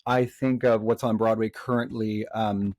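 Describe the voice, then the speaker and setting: A man's voice: lowish voice, flat delivery, normal speed, darkish tone, no room sound. A man who coaches singers, speaking as a podcast guest in a thoughtful, conversational way, with frequent fillers and restarts.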